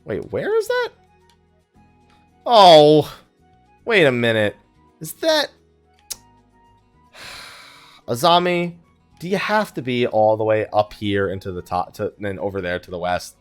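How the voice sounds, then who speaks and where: A man's voice: medium pitch, huge pitch swings, slow, long pauses, faint music behind. A man hosting a gaming let's play, chatting casually in a rambling, joking way with mock exasperation and self-mockery. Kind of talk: casual chatty game commentary.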